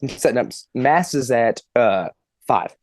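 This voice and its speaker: A man's voice: medium pitch, lively intonation, measured pace, a little mellow, no room sound, clean studio sound. A man in his twenties, a podcast guest, telling a long funny story in casual chat, laughing and swearing as he goes.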